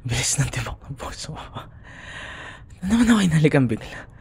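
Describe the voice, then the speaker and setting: A man with a Filipino accent playing a young, grudging, secretly smitten school rival in an intimate, breathy ASMR roleplay, often dropping into whispers. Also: a little high, big pitch swings, brisk, exaggerated voice. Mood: flustered, intimate, then confused, smitten. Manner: teasing, grumbling, hesitant. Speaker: audio roleplay voice actor.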